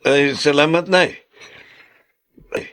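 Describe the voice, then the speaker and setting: An actor with a Filipino accent, playing a respectful, concerned grown son in a radio drama. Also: male voice, on the high side, fairly even intonation, slow pace, a bit bright. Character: polite, worried grown son.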